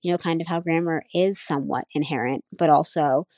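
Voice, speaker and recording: Young adult woman, native Nepali speaker, low voice, musing student reflection, dry close recording. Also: steady tone, fairly quick, a little mellow, dry recording. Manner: thoughtful, searching, full of "um" and "like". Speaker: college student. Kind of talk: casual spoken class reflection.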